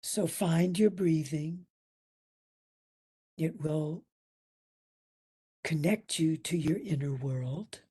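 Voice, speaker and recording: A woman leading a guided meditation as instructor, soft and breathy, soothing and gentle, leaving long pauses between phrases. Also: low voice. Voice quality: soft, breathy, at times near whisper.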